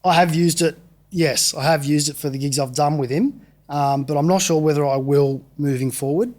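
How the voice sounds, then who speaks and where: An Australian man talks easily about his music in a relaxed interview. His voice sits a little high, and his answers are loose and friendly, with frequent yeahs and easy laughs. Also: moderate pace. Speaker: guest musician. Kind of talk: casual interview.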